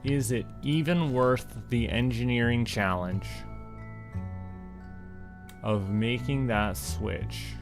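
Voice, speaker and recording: A man's voice: medium pitch, slow, pauses often, over music. A man with a Canadian accent doing casual solo gameplay commentary as a streamer, musing to himself as he goes. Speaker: game streamer.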